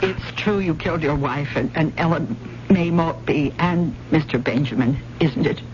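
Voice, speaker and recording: Actress as earnest heroine, noisy old radio drama. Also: female voice, low voice, normal speed, lo-fi sound, natural voice. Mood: soothing, firm. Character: brave, quick-witted woman confronting a killer.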